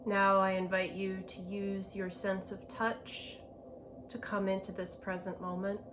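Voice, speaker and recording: A woman leading a guided meditation in a gentle, unhurried manner, with spacious phrasing and long pauses. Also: medium pitch, level tone. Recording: clear background ambient noise.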